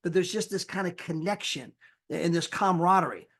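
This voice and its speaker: A man giving an energetic sales pitch on a live call, casual and folksy, acting out the people he quotes. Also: a little fast. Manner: animated, acts out quoted people with big pitch jumps. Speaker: sales presenter.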